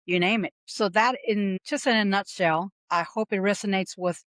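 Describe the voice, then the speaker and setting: A woman speaks as an interview guest. She tells her story earnestly, stopping often on small fillers, at a medium pitch. The sound is dry and close, with no room around it. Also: steady tone, fairly bright tone, no room sound. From Puerto Rico. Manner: earnest storytelling with frequent "um" pauses. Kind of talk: podcast interview.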